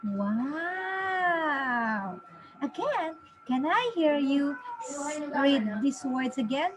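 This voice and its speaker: A woman in her thirties teaching a children's phonics lesson, encouraging, with exaggerated, deliberate enunciation for young learners. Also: high voice, lively intonation, noisy background. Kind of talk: children's phonics lesson, sounding out letters and words.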